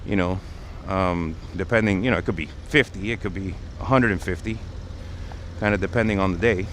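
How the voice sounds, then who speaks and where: A man's voice: sits low, fairly quick, a bit bright. A man hosting a casual livestream outdoors on a city street, explaining things in a conversational way. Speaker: livestream host. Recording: outdoor street noise, passing vehicles. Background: traffic and urban ambience.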